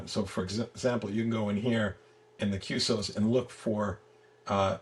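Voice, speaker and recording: Gravelly low-voiced man explaining software. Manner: halting, stop-start explaining with repeated words. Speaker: software creator giving a product demo as guest. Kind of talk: casual screen-share walkthrough.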